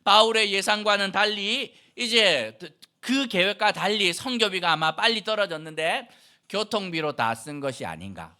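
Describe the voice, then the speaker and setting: A man's voice: sits high, lively intonation, normal speed, bright, forceful tone. A middle-aged male pastor from Andong preaching a sermon in an explanatory, conversational way, posing rhetorical questions to the congregation. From Andong, South Korea.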